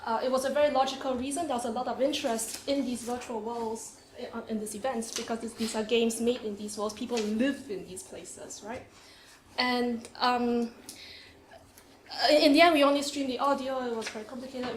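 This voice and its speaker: A woman with a Singaporean accent presents her research at a conference, lively, with many "um"s. Her voice sits a little high. The room is echoey, with faint hiss behind her. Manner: lively, with laughs and fillers. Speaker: conference speaker. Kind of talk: academic presentation. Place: seminar room.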